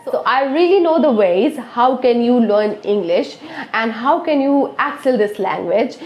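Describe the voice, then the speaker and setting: Earnest Indian woman coaxing learners. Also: on the high side. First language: Hindi. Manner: earnest, coaxing, frequent "okay?" checks. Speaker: English teacher. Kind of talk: motivational video lesson. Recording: echoey room.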